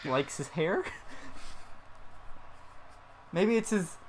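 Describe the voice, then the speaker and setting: A man's voice: sits high, expressive, drawn-out words, crowd noise. A man giving casual, playful commentary on a wrestling game, often laughing. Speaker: gaming commentator. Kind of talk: casual let's-play commentary.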